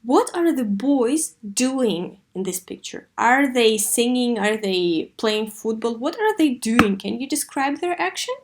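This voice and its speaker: High-voiced woman teaching children English. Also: lively intonation. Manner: didactic, asks questions and answers them herself; slow, deliberate enunciation of key words. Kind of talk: scripted online lesson addressed to young learners.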